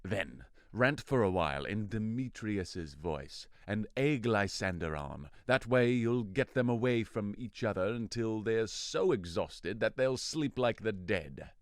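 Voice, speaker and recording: A man's voice: on the low side, expressive, unhurried, few pauses, no echo, studio quality. A man playing a commanding fairy king in an audio drama, speaking with theatrical authority and deliberation. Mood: commanding, scheming. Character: commanding fairy king, a lordly forest spirit. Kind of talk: audio drama acting of modernized Shakespeare.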